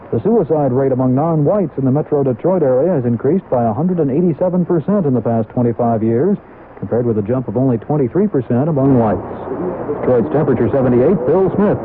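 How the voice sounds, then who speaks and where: An American man reading a scripted news bulletin on 1970s radio. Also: mid-range voice. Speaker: radio newsreader. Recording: tinny 1970s AM radio, faint noise.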